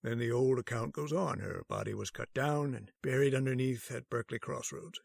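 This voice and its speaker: An actor playing a solemn storyteller who recounts an old tale. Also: male voice, mid-range voice, vocal fry, dry recording, clean studio sound. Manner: grave, measured.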